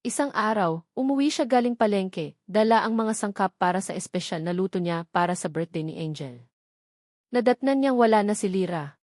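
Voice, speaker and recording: A woman's voice: medium pitch, lively intonation, normal speed, darkish tone, dry recording. Filipino woman narrating romantic drama, dry recording. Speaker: audiobook narrator. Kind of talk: dramatic scripted storytelling of a romance serial.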